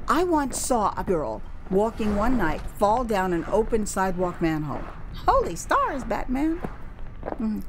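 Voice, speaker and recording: An American woman narrating her own first-person memoir as theatrical audio drama, expressive, drawing out words for emphasis and dropping in chatty asides. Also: mid-range voice, measured pace, background noise. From United States.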